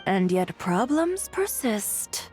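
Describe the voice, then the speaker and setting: A woman's voice: mid-range voice, big pitch swings, slow pace, darkish tone, faint music behind. A woman voicing a self-assured fashion designer in a video game, with a dry, composed manner. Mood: cool, wry.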